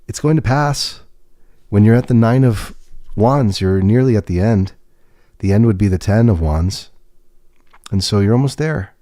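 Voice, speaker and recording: Soft-spoken man giving a tarot reading. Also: on the low side, dry recording, clean studio sound. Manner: gentle and reassuring, pausing and restarting. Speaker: tarot reader and podcast host. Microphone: webcam setup.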